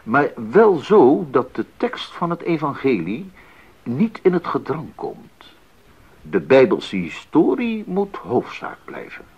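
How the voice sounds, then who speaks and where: An actor playing an earnest, enthusiastic Baroque composer in a 1980s radio drama, speaking deliberately and expressively with thoughtful pauses. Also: male voice, mid-range voice, big pitch swings, husky, heavily acted. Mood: thoughtful, visionary. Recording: muffled 1980s radio recording.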